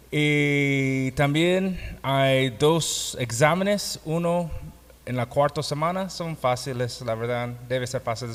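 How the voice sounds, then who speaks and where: A male pastor lectures a class slowly in a non-native accent. His phrasing is halting, with a learner's grammar slips. His voice sits at a middling-to-ordinary male level and rings through a long hall reverb. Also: mid-range voice. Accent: foreign, non-native Spanish. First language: not Spanish. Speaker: pastor and Bible course teacher. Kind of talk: classroom lecture, explaining a course. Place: classroom or hall with students present.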